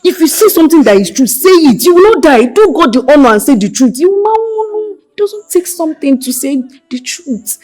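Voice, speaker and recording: A woman with a husky voice and a Nigerian accent, playing an outspoken, loyal attendant to a princess in a screen drama. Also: mid-range voice, lively intonation, normal speed, a little mellow, rough recording. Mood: indignant. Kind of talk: emotive screen drama acting.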